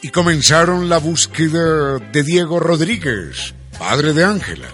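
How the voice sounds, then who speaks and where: A man narrates a radio drama like a storyteller. His delivery is scripted and highly animated, slightly high in pitch, with faint music underneath. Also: measured pace.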